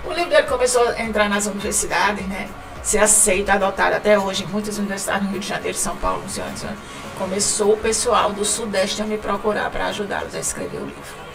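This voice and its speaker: A woman's voice: mid-range voice, sing-song, fast talker, distant mic, music in the background, poor audio. A woman from Northeast Brazil with a northeastern Brazilian accent, a podcast guest chatting casually, laughing often and repeating words as she talks. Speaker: guest, writing company founder.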